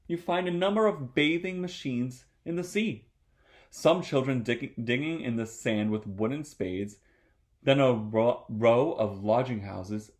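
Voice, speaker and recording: A man reading a children's story aloud expressively as a storyteller. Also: mid-range voice.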